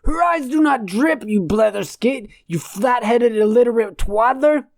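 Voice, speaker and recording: A woman's voice: on the high side, a little slow, no room sound, pitched above the performer's own voice. Woman voicing a pompous, irate old male philosopher. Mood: furious, scornful. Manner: pompous, verbose, scornful.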